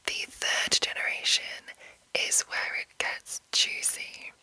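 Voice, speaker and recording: A woman whispering an informal, rambling ASMR explainer as the host, in a breathy, unvoiced voice. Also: slow pace, sharp tone, no echo, poor audio. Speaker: host of ASMR video series on roleplaying games.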